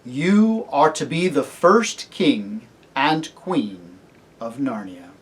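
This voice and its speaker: A man voicing a great talking lion in a storybook, kingly and solemn, with a grave, measured, commanding manner. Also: on the high side, sing-song. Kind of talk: storybook character reading.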